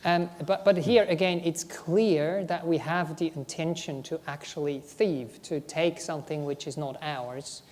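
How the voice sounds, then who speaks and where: A woman with a German accent, a Buddhist monastic co-teaching a workshop, explaining in a halting way with frequent "um" and restarts. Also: low-pitched, steady tone, a little mellow. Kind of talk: workshop discussion. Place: Buddhist centre hall.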